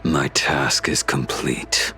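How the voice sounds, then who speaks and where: A man's voice: very deep voice, fairly even intonation, slow pace, bright, forceful tone, heavily acted. An actor playing a vengeful, unhinged undead swordsman in an anime game, with a cold, menacing delivery that breaks into whispers. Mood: cold, subdued. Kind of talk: dramatic anime game voice acting. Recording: voice under cinematic music. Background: cinematic game music.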